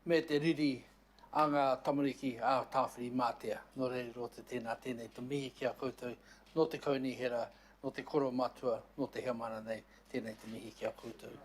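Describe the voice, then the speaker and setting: A New man delivers a formal welcome at a council meeting. He speaks slowly and ceremonially in a mid-range voice. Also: medium pitch, fairly even intonation. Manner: slow, ceremonial.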